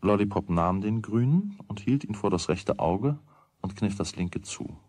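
A man narrating a children's radio play as a wry, deliberate storyteller with a husky voice. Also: lowish voice, lively intonation, darkish tone, dry recording. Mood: wry, measured. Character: wry storyteller of a boy's everyday troubles.

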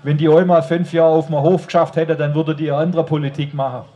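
A man's voice: a little high, level tone, brisk, warm tone, slight background noise. A man with a Swabian accent gives an informal, colloquial rally speech outdoors into a handheld microphone, addressing the crowd directly. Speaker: rally speaker. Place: outdoor stage under an open tent.

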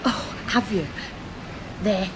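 An actress playing a quiet, polite, demure young woman on a date in a radio play. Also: mid-range voice, slow pace, very noisy, lightly acted.

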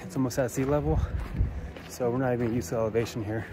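A young man from Texas filming a hiking vlog outdoors on a high mountain trail, holding his phone at arm's length. He is tired from the altitude and speaks in an easygoing way, with frequent fillers. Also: steady tone, fast, heavy background noise. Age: young adult. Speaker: travel vlogger. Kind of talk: casual hiking vlog with voice-over narration. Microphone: phone camera held at arm's length.

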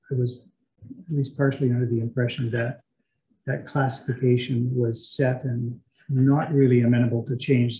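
A man chairing a council meeting as mayor, speaking in a deliberate, courteous and formal way. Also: mid-range voice, warm tone. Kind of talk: formal meeting remarks and questions. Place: large meeting room, indoors. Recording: dull, echoey meeting room audio.

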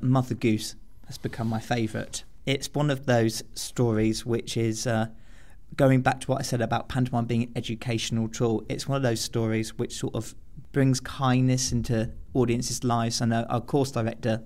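A man's voice: medium pitch, little pitch movement, normal speed, few pauses, darkish tone. A male student giving earnest, considered answers as an interview guest.

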